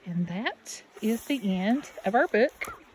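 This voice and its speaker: A woman teacher reading a picture book aloud to children, deliberate and expressive, with questions and asides to her listeners. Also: fairly high pitch. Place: outdoors beside a natural pond. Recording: steady outdoor background noise. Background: birds and outdoor ambience.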